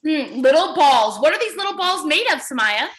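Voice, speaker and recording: Female early-years teacher, high and very lively pitch, animated read-aloud style, encouraging. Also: normal speed. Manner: animated, encouraging praise and questions; repeats children's answers back. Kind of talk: interactive children's read-aloud lesson.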